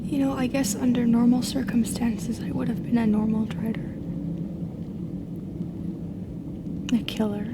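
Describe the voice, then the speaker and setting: A woman voicing a lonely, sorrowful female drider raised by humans in an audio roleplay, speaking in a hesitant, halting, emotional way. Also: a little high, steady tone, natural voice. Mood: resigned. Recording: clearly noisy background.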